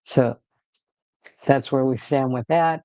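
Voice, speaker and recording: A man hosting a casual livestream, rambling in a relaxed way with frequent fillers and restarts, talking from home. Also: medium pitch, slow pace, no room sound, lo-fi sound. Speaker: livestream host.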